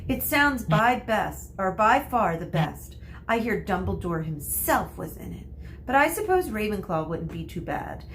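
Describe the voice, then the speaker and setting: A woman's voice: mid-range voice, big pitch swings, measured pace, fairly bright tone, slight background noise. A woman voicing a bossy, eager know-it-all young witch girl in a storybook, chattering in a breathless, self-assured way.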